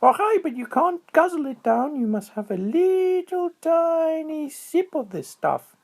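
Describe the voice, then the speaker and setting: A man voicing a small, excitable, timid squirrel in a children's bedtime story, with a squeaky, shrill, exclamatory delivery. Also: a little slow, exaggerated voice. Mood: excited, friendly. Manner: exclamatory, repeats a greeting catchphrase.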